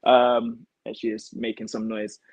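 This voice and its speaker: A man's voice: mid-range voice, monotone, a little slow, no room sound. A young British man chatting casually as an interview guest, telling his own story on a video call. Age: young adult. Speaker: guest, elite martial arts athlete. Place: home kitchen, indoors. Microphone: device mic on a video call.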